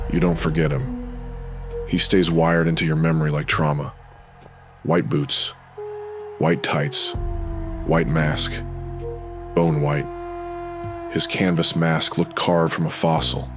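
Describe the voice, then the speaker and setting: A man narrating a horror anthology in an ominous, measured pulp radio-drama style, in short dramatic phrases. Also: very deep voice. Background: music.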